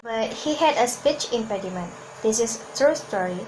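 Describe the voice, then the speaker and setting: Cantonese-native woman from hong kong teaching public speaking, kinda high voice, earnest, restarts words, faint background hum. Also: animated, normal speed. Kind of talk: instructional talk to camera.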